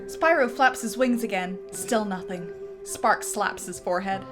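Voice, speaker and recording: A woman narrating the stage directions of a script reading in a lively way. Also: medium pitch, measured pace, over music. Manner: lively, breaking into laughter.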